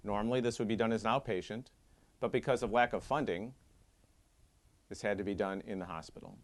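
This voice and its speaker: Man in his fifties, surgeon, low voice, earnest measured appeal, office recording. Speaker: head and neck surgeon. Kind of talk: scripted advocacy monologue. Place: doctor's office with diplomas.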